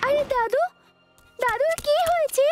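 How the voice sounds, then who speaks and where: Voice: very high-pitched, a little slow, darkish tone, faint music behind. An actor voicing a heroic, earnest young robot boy in an urgent way for a children's cartoon dub. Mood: alarmed, worried.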